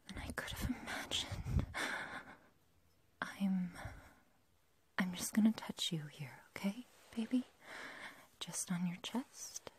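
A woman's voice: medium pitch, dark tone, a little hiss or hum, close to an everyday voice. A woman playing an obsessive, lovestruck yandere girl kidnapper in a whispered, intimate, possessive ASMR roleplay. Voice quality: breathy, whispery. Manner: slow, intimate, halting, possessive.

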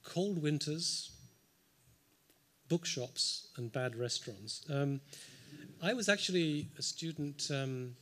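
A man's voice: fairly high pitch, slow pace, fairly bright tone, slight background noise. A man from the United Kingdom, giving an unscripted panel response in a large lecture hall into a table microphone, thoughtful with frequent ums and dry asides. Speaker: symposium panelist.

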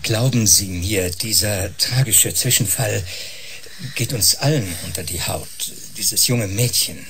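An actor playing a falsely soothing doctor with sinister intent in a German radio drama, measured and placating. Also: male voice, on the low side, a bit bright, soft background music.

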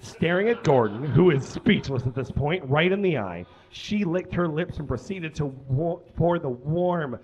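Male live reader, theatrical comic delivery, voice on the higher side, somewhat muffled recording. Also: lively intonation, unhurried, hardly any pauses, a little mellow, breathy edge. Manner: theatrical, emphatic, with stumbles over words. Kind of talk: comic dramatic reading of fan fiction to an audience. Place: small indoor stage with curtains.

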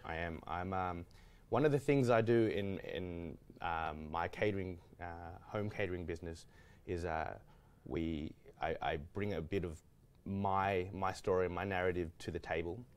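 A man's voice: low-pitched, measured pace, clean tone. A man, a guest chef telling his story in a studio kitchen, with lots of fillers and restarts. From Australia. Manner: rambling, many restarts and fillers. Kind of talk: casual studio interview.

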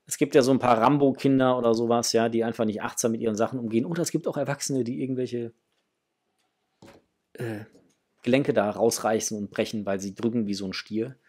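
A middle-aged man talks casually to his viewers as the host of an unboxing video. His voice sits at a medium pitch. He sounds enthusiastic and generous with his approval, speaking from a small room at home. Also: steady tone, fairly quick, darkish tone. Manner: enthusiastic, gushing. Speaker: host of a toy collecting channel.

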